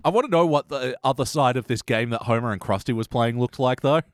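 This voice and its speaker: A man's voice: mid-range voice, fast talker, dry recording. Irreverent man hosting, very dry close sound. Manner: irreverent, joking, sweary. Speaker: podcast host. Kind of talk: casual comedic chat reviewing a cartoon episode.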